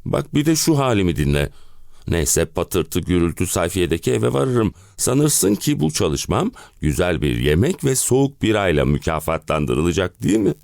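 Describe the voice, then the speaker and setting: A man's voice: low-pitched, very animated, moderate pace, gravelly, darkish tone, no room sound, clean studio sound, heavily acted. A male actor playing an exasperated, self-pitying middle-aged husband in a theatrical monologue, with melodramatic, comic complaining. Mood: weary sarcasm. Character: exasperated, hen-pecked middle-aged husband ranting about summer-house misery. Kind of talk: theatrical one-man play monologue.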